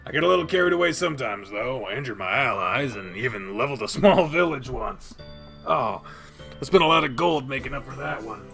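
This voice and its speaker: A man doing an excitable furry gamer guy. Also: mid-range voice, a little fast, creaky, faint music behind. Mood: boastful. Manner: giggly, boastful storytelling. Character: excitable young male animal-person gamer.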